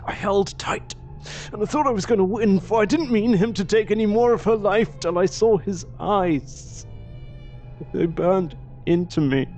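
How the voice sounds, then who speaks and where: An actor playing an agitated, weakened madman with a gravelly voice and a halting, urgent manner in an audio drama. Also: high voice, darkish tone, soft background music. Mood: feverish, agitated.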